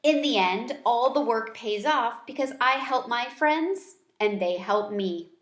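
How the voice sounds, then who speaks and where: Woman, fairly high pitch, children's read-aloud, expressive storyteller, echoey room. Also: unhurried, a little hiss or hum. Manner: expressive, acting out character dialogue. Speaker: reader of a children's book.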